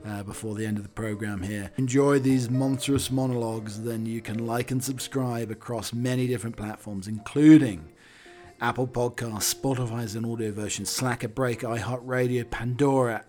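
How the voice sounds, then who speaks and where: A man with a croaky voice hosting a podcast, delivering scripted comic monologues and readings in a wry, theatrical manner. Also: mid-range voice, fairly even intonation, normal speed, darkish tone, faint music behind. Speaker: podcast host.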